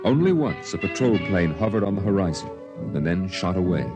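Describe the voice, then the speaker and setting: A man playing a somber storyteller recounting his boyhood on Mars, in measured, dramatic first-person narration for a vintage radio drama. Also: low-pitched, sing-song. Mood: weary, grave. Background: dramatic music.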